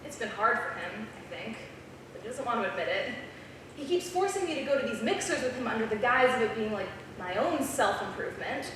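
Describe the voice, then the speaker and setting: An eager, touchy college student, played by a woman at a live script reading. Her voice is fairly high and highly animated, heard in a cavernous echo. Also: a little fast, lightly acted. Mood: concerned. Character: eager, defensive female college student writer. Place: small theater, live stage reading. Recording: echoey theater, distant mic, clear noise.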